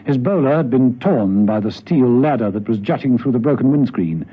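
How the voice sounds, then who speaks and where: A man acting as the narrator of a spy radio serial, telling the story in a measured, dramatic way. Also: mid-range voice, expressive, breathy edge. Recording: dull, noisy old radio recording.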